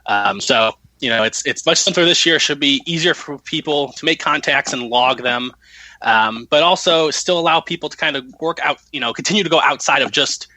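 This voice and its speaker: Guy with a bright forceful voice, explaining stuff casually as a guest. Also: fairly high pitch, normal speed, poor audio. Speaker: guest, amateur radio contest organizer. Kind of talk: casual interview talk.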